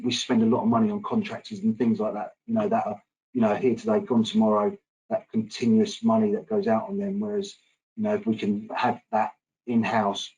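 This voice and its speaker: A man speaking as a guest on a panel, halting, with many restarts and fillers. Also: fairly low pitch, gravelly, dark tone, slightly rough, muffled recording. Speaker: employer guest. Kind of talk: casual panel talk.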